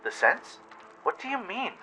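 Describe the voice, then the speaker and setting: A man's voice: high voice, very animated, measured pace, pushed and bright, slight background noise, pitched above the performer's own voice, heavily acted, low-quality recording. A man voicing an earnest, kind and anxious young pony in a radio play. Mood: bewildered.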